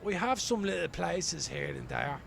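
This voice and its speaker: An actor in a radio play playing a weary, wry homeless man from Dublin, rambling colloquially in a gravelly voice. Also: fairly high pitch, lively intonation, measured pace, fairly bright tone, a little hiss or hum, heavily acted. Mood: wry, candid. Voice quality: gravelly, creaky. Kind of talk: radio play acting as an interviewee.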